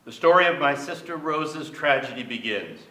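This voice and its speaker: A man's voice: on the high side, lively intonation, normal speed, pushed and bright. A man giving an emphatic, projected dramatic reading of a memoir on stage. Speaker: stage actor, reader. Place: on stage in a theater with an audience. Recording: echoey theater, distant microphone.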